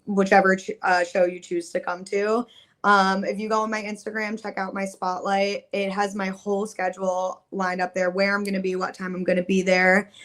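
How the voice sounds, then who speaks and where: A woman's voice: medium pitch, fairly bright tone. Chatty young woman singer guest. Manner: chatty, upbeat, uses "like" and "um" often. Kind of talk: casual interview chat.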